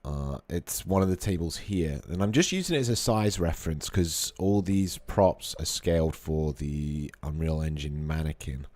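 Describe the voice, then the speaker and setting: Very deep-voiced man, a little hoarse. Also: moderate pace, few pauses, no echo. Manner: relaxed, thinking aloud with many fillers. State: slightly hoarse from a cold. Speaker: 3D artist streaming a modeling session. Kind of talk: casual live tutorial. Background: faint background music.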